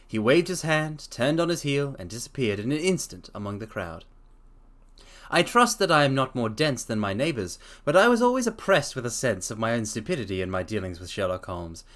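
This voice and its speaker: A man reads a classic story aloud and stops to gush over it. He talks with eager excitement and often restarts his sentences. His voice sits at an ordinary middle pitch. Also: brisk. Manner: excitable, stammers, restarts, laughs. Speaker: streamer reading a story. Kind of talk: dramatic reading and excited chat.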